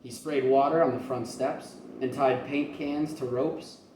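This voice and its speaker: Male storybook reader, kindergarten teacher style, mid-pitched, echoey room. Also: fairly even intonation, a little slow. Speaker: kindergarten teacher reading aloud. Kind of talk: expressive children's storybook read-aloud. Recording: roomy, distant mic, faint noise.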